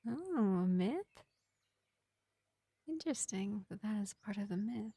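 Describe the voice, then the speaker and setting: A gentle vampire medic, played by a woman, speaks in a hushed, whispery murmur. The tone is soothing and unhurried, with a mellow, soft-edged timbre. It is a close, dry fantasy roleplay recording for a single listener. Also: mid-range voice, expressive, husky, no room sound. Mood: curious, amused. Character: gentle, kindly vampire field medic tending a wounded elf. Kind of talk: roleplay audio drama addressed to the listener.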